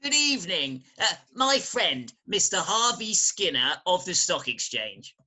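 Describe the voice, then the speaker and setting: A man playing a cocky, boisterous young gentleman in a Victorian farce reading, hearty and egging others on. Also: sits high, very animated, a little slow, full character voice. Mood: breezy. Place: recorded remotely at home over video call.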